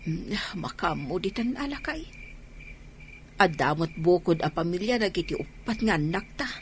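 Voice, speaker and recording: A woman's voice: on the low side, lively intonation, normal speed, a little hiss or hum, lightly acted. A woman acting a nagging village wife who worries about her family in a radio drama. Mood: complaining.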